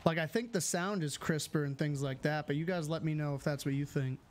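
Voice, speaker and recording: A man's voice: on the high side, fairly quick, a little hiss or hum. A man chatting casually on a live game stream, reading chat aloud and answering it, on a studio mic at home. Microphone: studio mic with filters.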